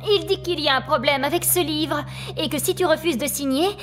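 Woman playing a bubbly mad teen girl, super high and all over the place, music behind. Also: fairly quick, close to an everyday voice. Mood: playful. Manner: bubbly, playful, singsong. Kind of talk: French cartoon dub.

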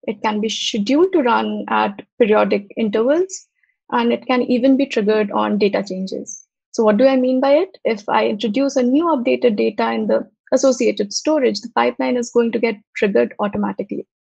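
A young woman presenting a technical talk over a video call, explaining step by step with frequent pauses and fillers. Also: on the high side, gravelly, a little mellow, husky, dry recording. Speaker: software engineer presenting. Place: home room, on video call. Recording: slightly muffled, dry video call audio.

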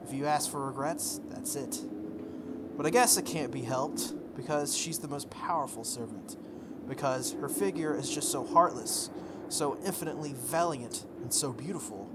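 A game playthrough host reading on-screen text aloud and commenting casually, reacting out loud with exclamations and questions. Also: medium pitch, normal speed, very noisy. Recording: music behind the voice. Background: game and anime music.

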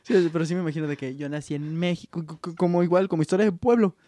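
A man's voice: fairly high pitch, fairly even intonation, fast talker, warm tone, dry recording. A young man with a Mexican accent, joking and riffing in slang as a podcast co-host, recorded on a desk mic in a small studio. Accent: Mexican Spanish. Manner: jokey, slangy, acts out silly voices in quips. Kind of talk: casual joking banter. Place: small home studio with colorful shelves. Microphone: desk mic on arm, headphones.

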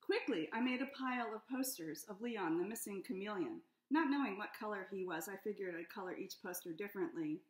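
A middle-aged woman reading her own children's picture book aloud at home, in a playful, expressive detective-story style. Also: medium pitch, brisk, few pauses. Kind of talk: storybook read-aloud narration. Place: home room with high ceiling. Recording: echoey room.

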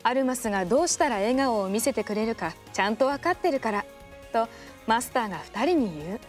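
A woman giving calm scripted narration for a radio drama. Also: fairly high pitch, a little fast, soft background music.

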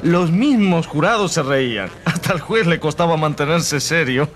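A seasoned, mocking court journalist, speaks in a fairly high, swooping voice. He is cocky, amused and emphatic, as in a radio play. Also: expressive, moderate pace, a bit bright. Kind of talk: radio drama interview.

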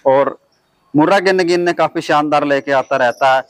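Casting: man in his thirties, slightly high voice, dry close lapel sound, brisk host. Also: dry recording. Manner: brisk, affirming with repeated short agreements. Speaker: dairy video host. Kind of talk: on-farm livestock walkthrough. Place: outdoor farmyard.

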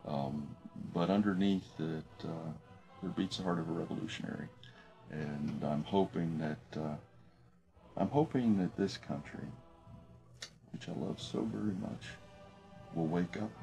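Very deep-voiced man reflecting in his office. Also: a little mellow. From United States. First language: French. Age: late middle age. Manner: thoughtful, halting, many fillers and restarts. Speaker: business professor. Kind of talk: reflective documentary interview. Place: office with desk and window.

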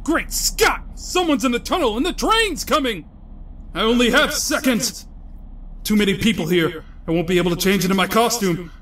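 A man's voice: high voice, expressive, moderate pace, a bit bright, echoey room, far from the mic. A man playing an earnest, self-dramatizing superhero with a secret identity in a comic-book audio drama, breathless and declamatory. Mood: urgent, heroic.

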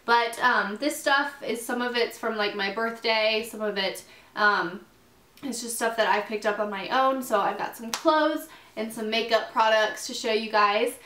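A woman's voice: fairly high pitch, a little slow, bright, forceful tone, roomy sound. A young woman vlogging to camera from her bedroom, chatty and upbeat, full of fillers, still getting over a cold. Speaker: fashion vlogger. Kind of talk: casual haul vlog to camera. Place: small bedroom, indoors.

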